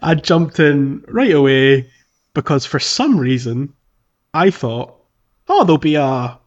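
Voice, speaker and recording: A man co-hosting a podcast, chatting informally and a little haltingly about playing a video game. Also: a little high, lively intonation, muffled recording. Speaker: podcast co-host. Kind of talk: casual chat about a video game playthrough.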